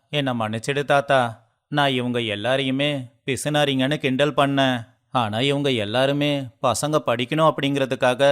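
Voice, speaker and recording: A man's voice: medium pitch, smooth, lightly acted. A man voicing an earnest, respectful, humbled young city man in a moral story.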